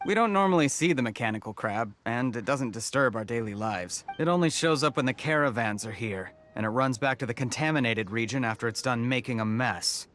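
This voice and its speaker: A helpful villager in a game, voiced by a man, with a mid-pitched male voice. He explains things plainly and matter-of-factly, like someone giving directions to a traveller. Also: lively intonation, a little fast, few pauses, a bit bright, slight background noise, close to an everyday voice. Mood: informative. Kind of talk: video game voice acting.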